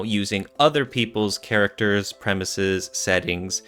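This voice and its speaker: A man's voice: fairly low pitch, a bit bright. A man co-hosting a podcast, explaining things in a casual, thinking-aloud way. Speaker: podcast co-host.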